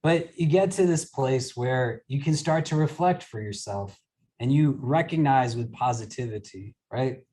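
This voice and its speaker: A man's voice: mid-range voice, unhurried, warm tone. Man teaching an online class. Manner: explains patiently, keeps checking in with "right?". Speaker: imam leading a class. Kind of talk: casual teaching discussion. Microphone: video call.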